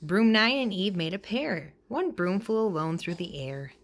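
A woman reading a children's picture book aloud in an expressive way, acting out the dialogue. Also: medium pitch, unhurried, a little hiss or hum. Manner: expressive, acts out character dialogue. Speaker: storybook reader.

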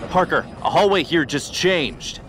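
Man acting an exasperated sci-fi superhero, swooping pitch. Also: expressive, unhurried, noisy background. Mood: sardonic, impatient. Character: gruff, impatient young futuristic superhero, worried and sarcastic. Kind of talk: video game fandub acting. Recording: game music behind the voice.

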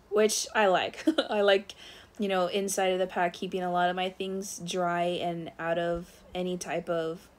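A woman narrating a casual review of her thru-hiking gear, relaxed, with frequent fillers as she recommends items. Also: on the low side.

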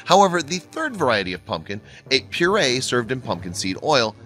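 A man reading a scripted video narration with a bright, forward tone. His pitch swings widely and his delivery bounces along. Soft music plays quietly under the voice. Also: a little fast. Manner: lively, bouncy read with wide pitch swings. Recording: faint music bed behind the voice.

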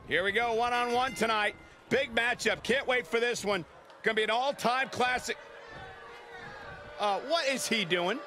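A man voicing an excitable, dramatic play-by-play wrestling announcer in a video game. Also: very high voice, normal speed, pauses often, slight background noise. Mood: excited. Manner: dramatic, exclamatory. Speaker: play-by-play commentator. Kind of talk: sports commentary in a video game. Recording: loud arena crowd behind commentary.

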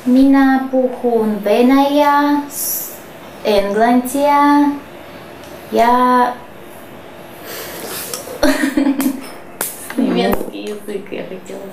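Hesitant woman student, very slow. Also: on the high side, creaky, a little mellow, echoey room, a little hiss or hum, poor audio. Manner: hesitant, halting answers. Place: small classroom.

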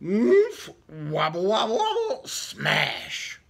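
A man's voice: high-pitched, huge pitch swings, drawn-out words, gravelly. A man reading a picture book aloud to children, theatrical, with casual questioning asides. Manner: theatrical, asks the young listeners questions. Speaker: children's story reader. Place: indoor room with book cut-outs.

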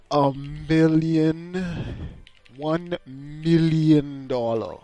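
A man's voice: medium pitch, vocal fry, a little mellow, no room sound. A man streaming a game, reading its text aloud with crude, mocking jokes, his accent a mix of British, Caribbean and American. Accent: mixed British, Caribbean and American.

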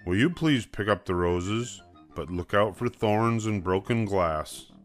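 A man voicing a level-headed father bear in a storybook reading, calmly giving instructions. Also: on the low side, measured pace, soft background music, close to an everyday voice. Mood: calm firm. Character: father bear, calm, practical, takes charge.

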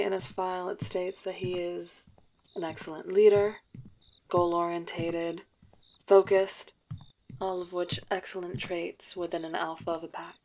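A woman's voice: on the low side, natural voice. A woman playing a formal dating agency matchmaker. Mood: polite. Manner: formal, precise, clipped. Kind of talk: audio drama roleplay. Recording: dull lo-fi sound, faint hiss.

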